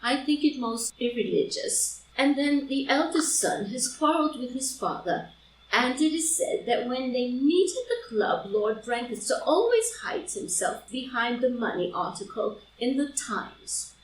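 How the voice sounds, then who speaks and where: A woman's voice: sits high, sing-song, a little slow. A woman playing an elderly, genteel society lady who rambles confidentially and is easily scandalised, in a live reading of a period comedy. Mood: gossipy, mournful. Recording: roomy, distant mic, faint noise.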